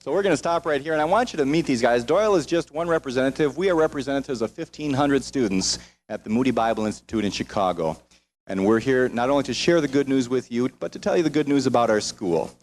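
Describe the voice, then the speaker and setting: A man's voice: mid-range voice, quick pace, darkish tone. Man, American-born, mid-range voice, informal stage host introducing a group, pokes fun at himself. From United States. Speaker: choir director introducing the singers.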